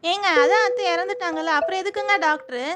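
A very high-pitched, fast-talking young daughter-in-law played by a woman in a comedy cartoon. She is scheming and sarcastic, always complaining. Loud music plays behind her. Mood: scornful. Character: scheming sharp-tongued young daughter-in-law, gleeful and impatient. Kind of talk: Tamil comedy cartoon voice acting.

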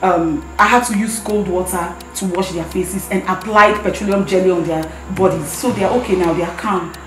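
A woman's voice: fairly low pitch, fairly even intonation, moderate pace, recorded from a distance, lightly acted. A woman playing a protective wife and mother, heated and firm. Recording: very echoey room, off-mic, music behind.

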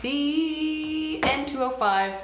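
Young woman doing a get-ready-with-me chat, kinda high voice, explains each product, echoey little room. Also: lively intonation, extremely slow, a little hiss or hum. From United States, raised mostly in the South. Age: early 20s. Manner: chatty, explains products as she applies them. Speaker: beauty vlogger host. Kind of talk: casual chat while doing makeup. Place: small indoor room, seated side by side. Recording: roomy indoor sound.

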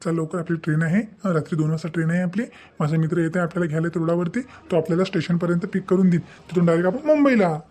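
A man's voice: fairly high pitch, fast talker, hardly any pauses, dark tone, natural voice. A man voicing a pushy, glib young village boyfriend in a cartoon, with a Vidarbha Marathi accent. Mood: encouraging. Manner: coaxing, glib. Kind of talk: amateur cartoon dialogue.

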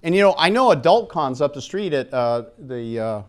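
Higher-pitched American man giving a lecture. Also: very animated, moderate pace. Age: middle-aged. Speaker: speaker at an expo seminar. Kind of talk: public lecture with questions to the audience. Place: conference room at an expo, live audience. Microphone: handheld microphone.

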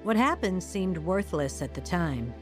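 A woman looking back on a troubled youth. Her voice is fairly low, reflective and confiding, in dramatized first-person narration with music clearly behind it. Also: big pitch swings, measured pace.

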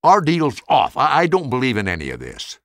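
A storybook girl, acted out by a man, young, angry and stubborn. The words come out blunt and stammered, with very lively rises and falls in a dry, close recording. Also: mid-range voice, a little fast, no echo. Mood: angry, hurt.

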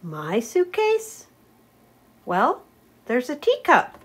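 A woman voicing a strange, weary traveling animal in a storybook, gentle, polite and homesick, speaking hesitantly and earnestly. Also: high-pitched, wildly swooping pitch, extremely slow, breathy edge, voice pushed up, heavily acted. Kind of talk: storybook read-aloud character voice.

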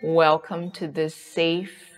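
A woman leading a guided yoga nidra meditation in a soothing, unhurried way, with long pauses. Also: deep voice, level tone, faint music behind.

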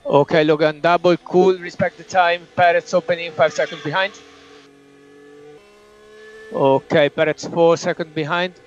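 A man's voice: fairly high pitch, level tone, moderate pace, long pauses. A man working as a race engineer, giving clipped instructions and countdowns to a driver over team radio. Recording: dull noisy motorsport radio link.

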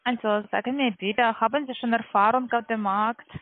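A woman's voice: on the high side, fairly even intonation, normal speed, no echo. Foreign-accented woman on a tinny phone. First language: not German. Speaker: call center investment saleswoman. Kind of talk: telephone sales call. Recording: tinny narrow phone line.

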